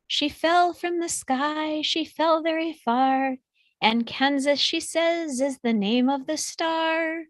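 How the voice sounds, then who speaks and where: Actress as gentle, regal good witch for a storybook musical: high voice, unhurried, sweetly coaxing, dry sound. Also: no echo. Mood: sweet, announcing. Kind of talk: musical film script read aloud.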